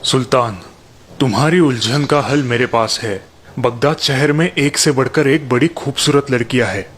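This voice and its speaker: A man playing a sultan's pragmatic, hard-hearted uncle and adviser in an animated fairy tale, assured and persuasive. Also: mid-range voice, a little hiss or hum, close to an everyday voice. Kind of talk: Hindi children's animated fairy tale acting.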